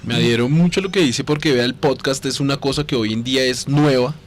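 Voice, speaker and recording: A man's voice: mid-range voice, fast talker, a little hiss or hum. A man co-hosting a radio show, chatting casually and enthusiastically. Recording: music behind the talk. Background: music.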